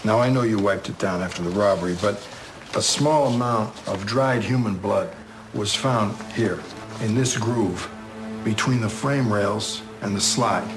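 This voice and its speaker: An actor playing a dry, methodical police detective in an audio drama, with a measured, formal, matter-of-fact delivery. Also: male voice, on the low side, music in the background, lightly acted, poor audio. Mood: measured, probing.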